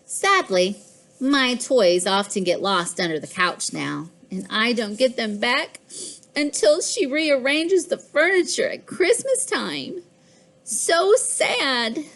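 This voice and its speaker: A woman voicing a boastful, fussy pet house cat in a children's storybook, with expressive, deliberate delivery. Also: a little high, heavily acted. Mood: mock sad. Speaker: author reading own children's book. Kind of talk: scripted children's storybook narration.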